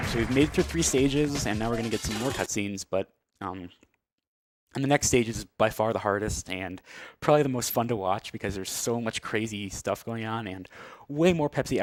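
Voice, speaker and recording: A man's voice: fairly low pitch, normal speed, no room sound. A man giving casual live commentary as a speedrunner on a video game, with amused, wry asides. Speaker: speedrunner commentating on own play. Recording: loud game music behind the voice. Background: loud video game music.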